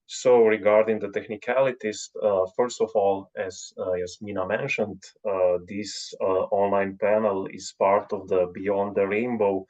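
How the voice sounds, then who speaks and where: Halting man, low muffled call. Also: little pitch movement, a little mellow. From Slovenia. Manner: halting, many filler sounds. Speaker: panel co-organizer. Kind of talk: event announcements. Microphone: video call. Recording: dull lo-fi video call audio.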